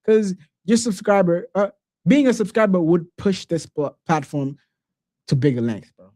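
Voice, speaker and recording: Relaxed, mellow-voiced male podcast host. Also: high voice, normal speed, dry recording. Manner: relaxed, slangy, says "bro" often. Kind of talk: casual podcast chat.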